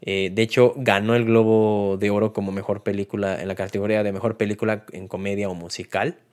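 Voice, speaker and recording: A man with a Mexican accent and a slightly low-pitched voice hosts a film review. He talks casually and without a script, in colloquial, conversational phrasing. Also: level tone, fairly quick, a little mellow. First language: Spanish. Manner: conversational, colloquial, retells scenes with acted-out quotes. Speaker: film podcast host and reviewer.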